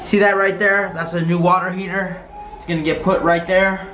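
A man's voice: high-pitched, level tone, normal speed, slight background noise. A man in his thirties chatting casually and playfully as a family vlogger, recorded on a handheld camera. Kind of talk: casual handheld vlog chat. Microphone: phone or small camera at arm's length. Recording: loud music behind, roomy home video sound.

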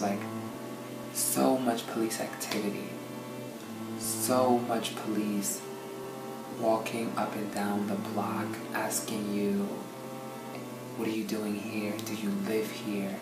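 A young woman speaking earnestly and reflectively in a documentary interview. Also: extremely low pitch. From born in Maryland, raised in Puerto Rico and Brooklyn. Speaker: interviewee, artist and poet. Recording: roomy sound with music underneath.

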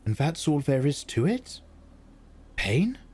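Guarded young lad, clipped and firm, slow; man doing the voice. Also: on the high side, very animated, darkish tone, breathy edge, pitched above the performer's own voice, heavily acted. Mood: wary. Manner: terse, defiant. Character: guarded teenage boy, proud and intense.

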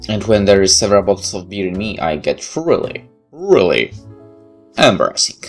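Male let's play narrator, low pitch, expressive story reading with casual asides, game music behind. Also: normal speed. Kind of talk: reading a visual novel aloud with asides.

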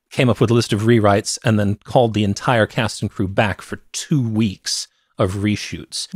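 A man's voice: on the low side, dry recording, studio quality. A middle-aged man hosting a movie podcast, telling film history in a conversational, explanatory way. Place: small cluttered home office, indoors. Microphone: close podcast microphone with headphones.

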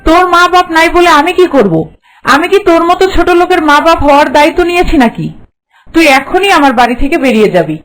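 A woman's voice: very high-pitched, expressive, quick pace, poor audio. A woman voicing a haughty, bossy, hard-hearted mistress of the house in a Bengali cartoon, with a nagging, contemptuous manner. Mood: angry, harsh.

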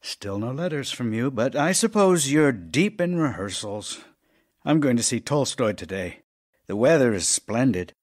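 A man's voice: medium pitch, lively intonation, unhurried, a little mellow. A man playing a devoted, anxious writer husband in an audio drama of love letters, with a theatrical, yearning delivery. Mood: disappointed.

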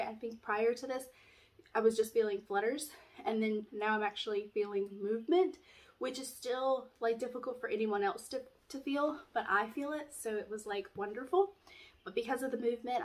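Stuffy-nosed young woman vlogging casually. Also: fairly high pitch. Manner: chatty, rambling, many "like" fillers. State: stuffy nose from allergies. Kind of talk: casual pregnancy update vlog. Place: small room at home, indoors. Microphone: camera in front, framed at chest.

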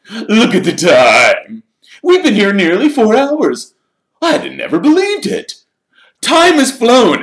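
A man's voice: high-pitched, voice pushed up, full character voice. A man playing a pompous, preening celebrity wizard. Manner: preening, theatrical and loud. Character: pompous vain grown wizard, showy celebrity.

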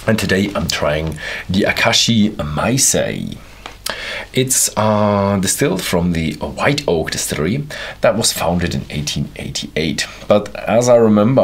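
A man's voice: sits low, a little hiss or hum. A man in his thirties casually reviewing a whisky from an armchair in a small studio, rambling with many fillers and restarts. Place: indoor studio with whisky shelves, seated in armchair.

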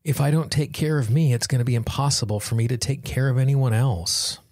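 A man's voice: medium pitch, a little fast, darkish tone, dry recording, clean studio sound. A man hosting a self-help podcast, speaking in an earnest, encouraging manner. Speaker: podcast host. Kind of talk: guided self-help tapping affirmations and podcast talk.

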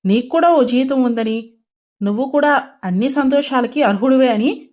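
A woman's voice: high-pitched, moderate pace, a little mellow, natural voice. A woman voicing a loving, worried and insistent mother of a grown son in a dramatised story, pleading earnestly.

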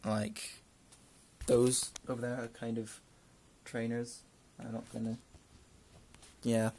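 A man's voice: medium pitch, little pitch movement, slow, dark tone, a little hiss or hum. A young man with a northern English accent, chatting casually through a list of questions into a close webcam in a small room, rambling with dry asides. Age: young adult. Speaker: vlogger.

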